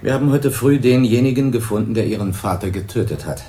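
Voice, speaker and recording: An actor playing a calm, formal police investigator in a radio crime drama, measured and official. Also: male voice, mid-range voice, natural voice. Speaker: police detective.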